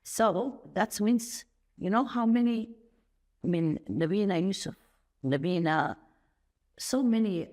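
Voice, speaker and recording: A woman who grew up in Saudi Arabia, a podcast guest telling stories in an animated, rambling way with sudden jumps up in pitch. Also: slow, pauses often. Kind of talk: conversational interview.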